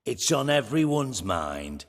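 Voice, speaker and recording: A man playing a calculating, erudite conspirator in a fantasy video game, talking in a measured, lecturing manner. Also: medium pitch, sing-song. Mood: calm, assured. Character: composed, erudite male conspirator, a calculating revolutionary. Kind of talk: dramatic video game dialogue.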